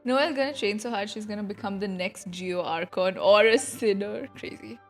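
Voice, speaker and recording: A woman's voice: medium pitch, moderate pace. A playful, slangy woman giving casual reaction commentary as she streams a game, with music underneath.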